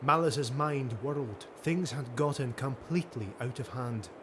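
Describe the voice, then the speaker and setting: A man's voice: medium pitch, unhurried, noisy background. A man reading a fantasy audiobook as dramatic scripted narration.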